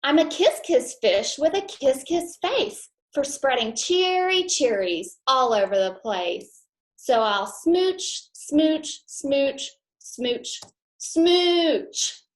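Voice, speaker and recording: A woman reading as a gloomy storybook fish, slow and glum, sing-song rhymes, drawn-out blubs, unhurried. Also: on the high side, animated. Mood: cheerful. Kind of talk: picture book read-aloud.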